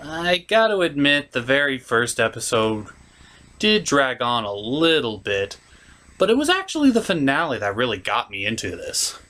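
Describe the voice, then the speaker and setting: A man's voice: mid-range voice, sing-song, moderate pace, bright, forceful tone. A man giving a casual, opinionated cartoon review as an online host, blunt and a bit showy.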